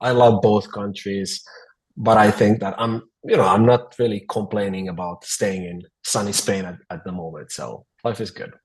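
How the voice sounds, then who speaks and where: A man in his forties with a Finnish accent, speaking as an interview guest in a halting, easygoing way with many fillers and restarts over a video call. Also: on the low side, fairly even intonation, rough recording. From Finland. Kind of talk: casual interview talk.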